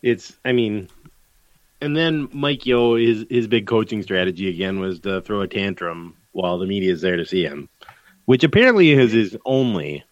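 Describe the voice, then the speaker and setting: A man's voice: on the low side, lively intonation, normal speed, no room sound. Sarcastic man, dull lo-fi sound. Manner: dry, sarcastic asides. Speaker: podcast co-host. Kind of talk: casual sports chat.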